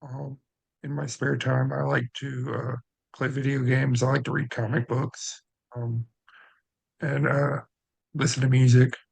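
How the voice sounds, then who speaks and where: A man in his twenties casually and haltingly introducing himself as a dating show contestant. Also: medium pitch, level tone, vocal fry, husky, rough recording. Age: 20s.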